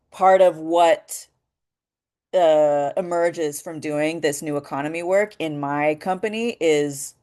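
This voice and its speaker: A woman with a naturally deep voice, leading a voice workshop in a thoughtful, searching way, with many pauses and restarts. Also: a little mellow. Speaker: voice workshop leader. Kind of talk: reflective workshop talk.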